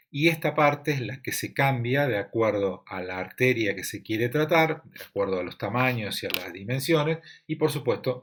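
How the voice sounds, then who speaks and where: A man with an Argentine accent giving a technical medical presentation over slides, explaining in a steady way with frequent fillers. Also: fairly low pitch. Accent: Argentine Spanish. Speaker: lecturer at a medical imaging congress.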